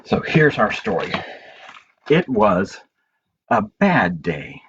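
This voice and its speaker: A man's voice: on the low side, very animated, slow pace, a bit bright. A man hosting a children's storytime from home, speaking simply as he reads aloud and chats to young listeners. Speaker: library storytime host. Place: at home, indoors. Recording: dull home recording with background noise.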